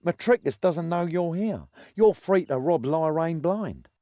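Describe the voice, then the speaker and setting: An actor playing a shifty, greedy crook in a video game, scheming in an offhand, cajoling manner. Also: male voice, on the high side, lively intonation, measured pace, mellow, no room sound. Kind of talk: video game cutscene acting.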